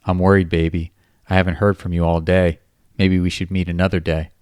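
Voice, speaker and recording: A man voicing a grown, smooth-talking man who coaxes and soothes. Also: low-pitched, level tone, fairly quick, a little mellow, no room sound, studio quality, close to an everyday voice. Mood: coaxing. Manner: coaxing, soothing.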